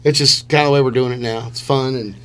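A man's voice: medium pitch, fairly even intonation, fast talker, a bit bright, smooth. A middle-aged man chatting casually and enthusiastically, telling rambling stories as a musician guest on a radio show. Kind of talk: casual chat. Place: small radio studio.

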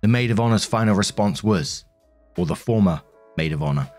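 A man's voice: lowish voice, lively intonation, a little fast, darkish tone, soft background music. A young man reading online posts aloud, adding exasperated conversational asides of his own. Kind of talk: storytelling narration with asides.

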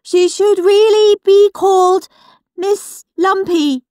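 A woman voicing an earnest, goody-goody little boy who recites loudly and carefully in a children's audiobook. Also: very high-pitched, fairly even intonation, slow, no room sound, studio quality, voice pushed up. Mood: proud, reciting. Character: earnest goody-goody little boy reading his diary aloud.

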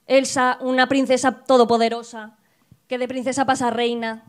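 Animated young woman ranting comically. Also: sits high, moderate pace, smooth. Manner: animated, rants with mock outrage. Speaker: podcast host. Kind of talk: casual comedic banter. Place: small bar stage with live audience. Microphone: handheld mic.

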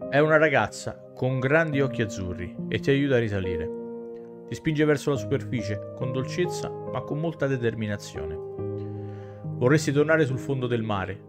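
A man in his thirties reading a visual novel aloud on stream in a theatrical storyteller way. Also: medium pitch. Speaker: game streamer. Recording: music clearly behind the voice. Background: game music.

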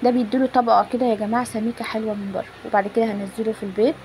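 A woman's voice: on the high side, steady tone, very fast, a little mellow. A woman with an Egyptian accent casually narrating a home cooking tutorial, chatting informally and directly to her viewers. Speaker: home cook presenting a recipe. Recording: phone recording with clear background noise.

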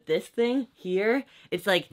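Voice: mid-range voice, takes it slowly. A vlog co-host talking casually and playfully about fixing up their sailboat. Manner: upbeat, playful, laughs easily. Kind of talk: casual chat and scripted intro. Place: on a sailboat under refit. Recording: guitar music underneath.

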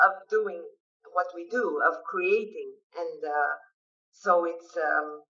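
Middle-aged woman songwriter on a video call, bright voice, lots of ums, muffled laptop sound. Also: on the low side, slow. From United States. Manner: thoughtful, hesitant with many fillers, laughs often. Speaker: songwriter guest. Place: bright living room at home. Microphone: laptop webcam on video call. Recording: dull lo-fi video call audio.

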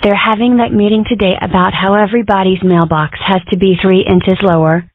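A woman's voice: mid-range voice, steady tone, a little fast, a little hiss or hum, natural voice. A woman voicing an exasperated adult mother fox in a cartoon comic dub. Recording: thin, band-limited, phone-like sound.

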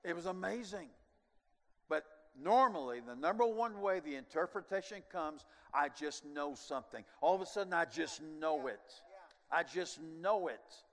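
Older pastor guy preaching on a big church stage, kinda high voice, booming hall echo, animated then goes quiet. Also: normal speed. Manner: animated preaching with sweeping gestures, drops to quiet asides. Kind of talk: sermon. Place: large church stage, indoors. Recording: long church hall reverb.

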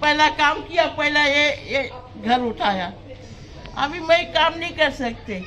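A woman's voice: sits high, a little slow, sharp tone. An older woman, a grandmother and local resident, speaking in a weary, pleading way.

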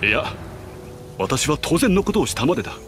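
An actor playing a noble, humble young warrior in a video game, speaking earnestly and formally. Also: male voice, on the high side, big pitch swings, brisk, fairly bright tone, faint music behind. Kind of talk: video game cutscene voice acting.

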